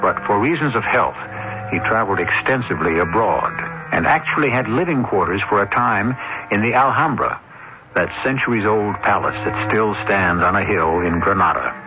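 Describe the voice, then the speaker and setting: A man hosting a radio mystery series, giving a measured, urbane, confiding storytelling introduction. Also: lowish voice, background noise. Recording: dull old radio broadcast. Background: music.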